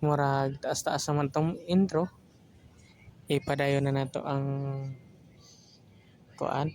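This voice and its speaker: A man's voice: fairly high pitch, steady tone, slow, darkish tone, a little hiss or hum. A man with a Filipino accent, hosting an audio drama channel in casual, joking, rambling chat. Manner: joking, colloquial.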